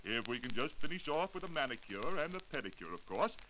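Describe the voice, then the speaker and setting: An obsequious male salon salesman in a 1940s radio comedy sketch. His delivery is effusive and persuasive, swooping up and down as he flatters the customer. The old recording carries a light hiss. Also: medium pitch, fast, poor audio. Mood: ingratiating. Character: fawning, smooth-talking male beauty salon salesman.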